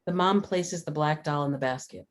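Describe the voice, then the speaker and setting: Low-voiced woman reading stage directions. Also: normal speed. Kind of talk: scripted narration, table read.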